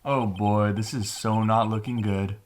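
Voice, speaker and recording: Man playing a low, nervous young rookie lawyer. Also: fairly even intonation, measured pace, close to an everyday voice. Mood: worried, wry. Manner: nervous, wry, muttering. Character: anxious young male rookie defense lawyer, muttering inner thoughts. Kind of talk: video game fan dub.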